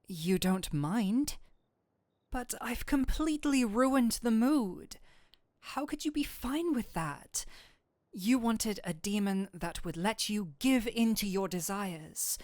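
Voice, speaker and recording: A woman's voice: medium pitch, darkish tone, no room sound, close to an everyday voice. A woman playing a nerdy male incubus demon in an intimate audio roleplay, speaking to the listener in formal, theatrical wording with a flustered, courteous, flirtatious manner. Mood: apologetic.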